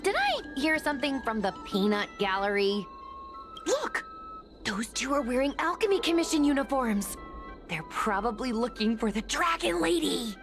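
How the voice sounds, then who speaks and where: A cocky young woman in a fantasy game, voice fairly high and swooping. Her manner is breezy and teasing. Music is clearly heard behind her. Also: very animated, normal speed. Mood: playful. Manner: playful, cocky. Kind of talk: anime-style video game voice acting.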